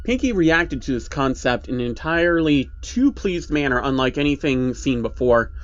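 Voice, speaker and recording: A man's voice: mid-range voice, animated, normal speed, no echo, lo-fi sound. A man narrating a creepy first-person story as a storyteller. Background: background music.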